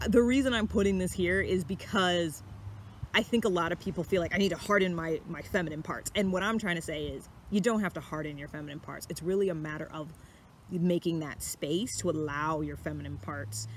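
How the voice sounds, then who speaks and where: A young adult woman talking casually and reflectively to camera as a vlog host, explaining her thoughts in a conversational way. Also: deep voice. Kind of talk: casual talk to camera about personality types. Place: outdoors in woods. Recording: clear steady outdoor background noise.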